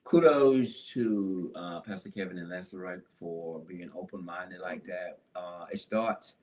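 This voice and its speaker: A man with a fairly low-pitched voice leads a conversational interview. He sounds earnest and affirming, using colloquial turns of phrase as he talks about health. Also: unhurried, darkish tone.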